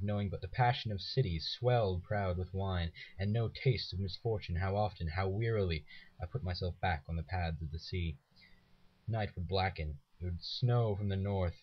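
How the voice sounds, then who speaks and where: Low-voiced young man reciting poetry, muffled recording. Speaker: student reader.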